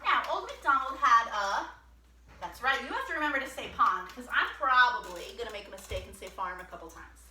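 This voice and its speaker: A woman hosting a children's storytime, chatty and animated, speaking directly to young children. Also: on the high side, fairly quick, over loud music.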